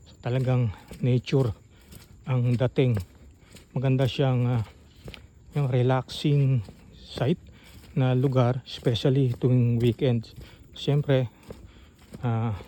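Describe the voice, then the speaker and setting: Mellow-voiced Filipino man vlogging outdoors. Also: medium pitch, level tone. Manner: informal, halting, thinking aloud. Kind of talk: casual outdoor walk-through narration. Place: outdoors on a rural farm trail. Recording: outdoor ambient noise.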